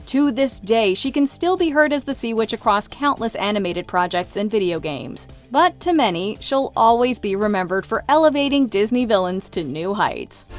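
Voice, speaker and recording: Girl narrating a top ten list, scripted, kinda high n bouncy, light music under. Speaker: countdown narrator.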